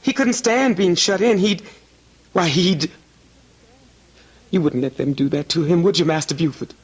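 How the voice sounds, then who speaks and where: Fearful, devoted housekeeper and mother in a radio mystery: older, husky voice, humble and pleading. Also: lowish voice, moderate pace, darkish tone, lo-fi sound. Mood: humble, anxious. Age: middle-aged or older. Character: anxious, long-suffering older housekeeper, protective mother. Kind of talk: old-time radio drama acting.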